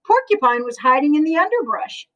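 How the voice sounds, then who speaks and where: Children's storyteller: woman, high voice, casual with listeners, picked up from a little way off. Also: very animated, a little fast, a bit bright, far from the mic, muffled recording. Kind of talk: read-aloud storytelling for children.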